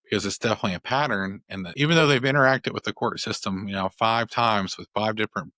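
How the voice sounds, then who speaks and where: A man's voice: on the low side, fast, pushed and bright, dry recording. A male attorney hosting a podcast interview, talking thoughtfully with many restarts. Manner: thoughtful, rambling with many restarts and "you know". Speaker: podcast host, family law attorney. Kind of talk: conversational interview.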